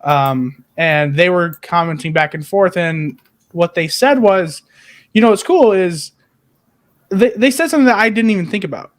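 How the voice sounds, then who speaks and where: A man's voice: high voice, normal speed. A man co-hosting a podcast, chatting casually about fan news with a joking, irreverent manner. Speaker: podcast co-host.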